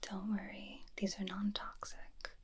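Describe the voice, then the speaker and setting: A woman in her twenties doing a soft, doting, breathy ASMR personal-attention roleplay, close on a binaural microphone. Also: medium pitch, completely flat delivery, unhurried. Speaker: ASMR performer.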